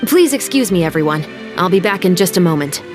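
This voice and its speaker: A woman playing a stern, dutiful young female patrol captain in a video game, formal and measured. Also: lowish voice, lively intonation, over music, close to an everyday voice. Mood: earnest.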